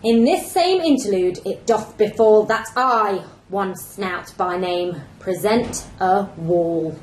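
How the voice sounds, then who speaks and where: A woman playing a solemn, literal-minded talking wall in an amateur Shakespeare radio play, reciting verse deliberately. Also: medium pitch, a little mellow, close to an everyday voice. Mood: solemn announcing. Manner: deliberate, declamatory verse delivery. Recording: echoey room with background noise.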